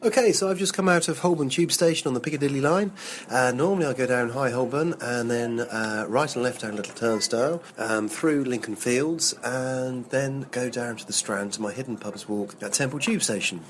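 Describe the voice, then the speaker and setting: A man's voice: medium pitch, normal speed, a little hiss or hum. A man working as a walking tour guide, chatting casually on location while he walks through streets and old courtyards, full of dates and trivia.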